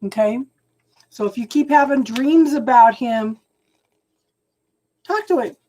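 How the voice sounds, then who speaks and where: A woman doing a live tarot reading at home, talking slowly and soothingly, often checking in with "okay?", and clearing her throat as she loses her voice. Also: on the high side, dark tone, low-quality recording. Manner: slow, soothing, keeps adding "okay?". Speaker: tarot reader.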